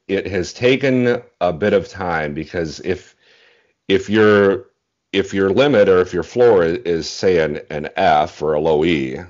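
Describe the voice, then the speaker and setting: Man, 40s, extremely low voice, casual interview guest explaining things in measured phrases, dull video-call audio. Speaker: interview guest, bass singer. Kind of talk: casual interview chat about singing technique. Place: small indoor room at home, video call. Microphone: earphones on a video call.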